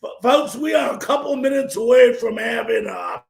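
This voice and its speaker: A male sports talk show host who is losing his voice and hoarse, loud and opinionated, giving his views and calling play-by-play. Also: very high voice, moderate pace, reverberant. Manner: loud and opinionated, often shouting.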